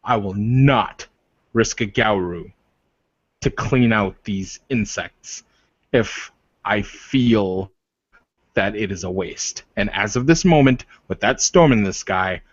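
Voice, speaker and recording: Slow, low-voiced man playing a proud werewolf leader. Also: expressive. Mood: grave, forceful. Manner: slow, emphatic, declarative speech. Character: protective, proud male werewolf warrior leader and lover. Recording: roomy, dull lo-fi microphone.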